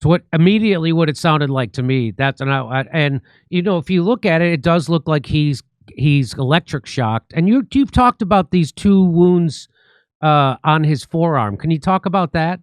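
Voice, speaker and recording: A man hosting a talk show, commenting and asking questions casually, often halting and repeating himself as he starts. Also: a little high, animated, clear, resonant tone, dry recording.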